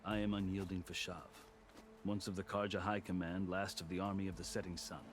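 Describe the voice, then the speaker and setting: An actor playing a proud, courteous veteran warrior turned diplomat in a video game, speaking in formal, measured oratory. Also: male voice, low-pitched, level tone, lightly acted. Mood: courteous, wry. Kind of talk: dramatic video game cutscene acting.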